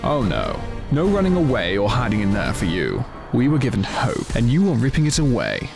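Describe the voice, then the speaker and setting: An actor playing an angry, desperate crash survivor in an audio drama, accusing and vehement. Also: male voice, medium pitch, wildly swooping pitch, moderate pace, vocal fry, music in the background. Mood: outraged. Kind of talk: full-cast audio drama acting.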